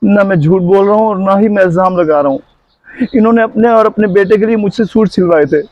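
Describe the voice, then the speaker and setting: A man's voice: high voice, fairly quick, no echo, highs cut off, natural voice. A man playing a poor, honest middle-aged tailor and father driven to beg, plaintive and wounded, in an amateur moral drama. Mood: hurt, defensive. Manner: plaintive, pleading. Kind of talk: amateur moral short-film acting.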